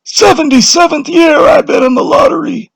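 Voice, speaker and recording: A man voicing a cranky, petulant old village man who scorns change, with a creaky voice, in a dramatic story reading. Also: extremely high pitch, big pitch swings, normal speed, dry recording, raised voice, voice pushed up, heavily acted. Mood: stubborn, proud. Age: older. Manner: petulant, grumbling, emphatic.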